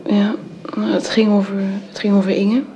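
An actress in a radio drama playing a caring, deferential woman of the household, hesitant, gentle and often hushed. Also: medium pitch, little pitch movement, normal speed, close to an everyday voice. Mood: hesitant, uneasy. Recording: dull band-limited sound, faint noise.

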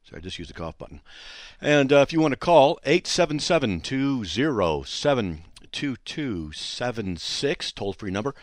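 A man hosting a call-in radio show from a studio, his voice hoarse and scratchy, chatting and joking with listeners. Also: mid-range voice, very animated, moderate pace, no echo. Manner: chatty and joking, breaking off to talk to people behind the studio window. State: hoarse, voice still shot. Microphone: studio microphone.

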